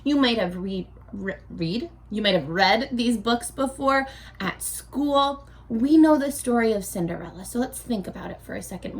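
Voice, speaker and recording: A woman teaching young children in a read-aloud lesson, speaking in an animated, playful way and asking questions as if the viewers answer back. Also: medium pitch. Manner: asks questions and answers imagined replies.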